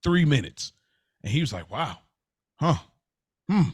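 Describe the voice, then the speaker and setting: A man co-hosting a podcast, telling stories in a casual, conversational way, halting and repeating phrases as he works out his point. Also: a little high, sing-song, breathy edge, no room sound, studio quality. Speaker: podcast co-host.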